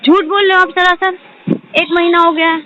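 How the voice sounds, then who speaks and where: A woman's voice: very high voice, flat delivery, fairly quick, bright, forceful tone. A woman complaining at length as a customer on a phone call, in an insistent, aggrieved manner. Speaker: customer complaining to a car salesman. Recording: noisy tinny phone line with clicks.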